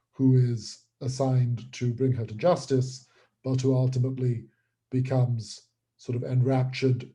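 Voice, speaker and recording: Very mellow, husky man explaining his work. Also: medium pitch. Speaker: writer and creator being interviewed. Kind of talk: conversational interview explanation.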